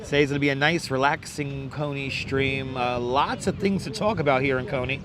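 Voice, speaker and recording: A hoarse man hosting a casual outdoor livestream, welcoming viewers by name one after another in a crackly, squeaky voice. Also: fairly even intonation, normal speed, crowd noise. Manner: reels off viewer names with repeated welcomes. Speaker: livestream host. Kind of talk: casual live chat greeting viewers. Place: outdoors on a beach boardwalk, city street sounds. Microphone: wireless clip-on mic. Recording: outdoor phone livestream with street noise. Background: faint traffic and city ambience.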